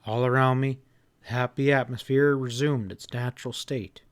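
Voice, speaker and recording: A man narrates a classic novel in the first person. His mid-pitched voice is folksy and expressive, leaning into the drama of the telling. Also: moderate pace, clear, resonant tone. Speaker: audiobook narrator.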